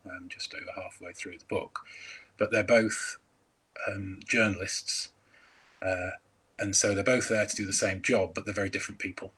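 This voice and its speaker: Man, crime author on a video call, hesitant chat, bright forceful tone with a raspy edge. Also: lowish voice, rough recording. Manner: hesitant, many ums and restarts. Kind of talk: casual interview chat.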